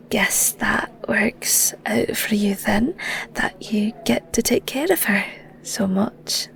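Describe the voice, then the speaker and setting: A woman with a Scottish accent playing a shy, flustered young werewolf shepherd in an intimate audio roleplay, stammering awkwardly in a soft, breathy voice. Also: medium pitch. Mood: gently curious. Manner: stammering, giggly, awkward, hesitant.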